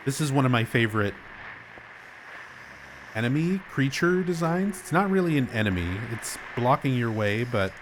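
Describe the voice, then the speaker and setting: A man's voice: mid-range voice, moderate pace, background noise. A man streaming a game, explaining things casually while nursing a sore throat. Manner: explanatory, chatty, gets animated when amused.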